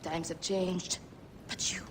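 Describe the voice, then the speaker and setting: A woman playing a caring, gently bossy healer of ancient Egypt in a video game, fussing tenderly over an old friend. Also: lowish voice, little pitch movement, slow pace, background noise. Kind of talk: video game cutscene acting.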